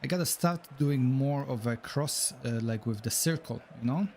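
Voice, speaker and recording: A man's voice: mid-range voice, normal speed, a little mellow, slight background noise. Chatty man with a Polish accent. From Poland. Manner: chatty, reacts aloud to the match, says "bro" and "man". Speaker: streamer. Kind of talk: live gameplay commentary and chat.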